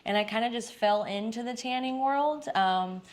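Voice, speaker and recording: A woman's voice: fairly high pitch, moderate pace. A woman in her thirties from Texas, a salon owner answering interview questions in a chatty, upbeat way with plenty of fillers. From Dallas-Fort Worth, Texas. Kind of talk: casual interview.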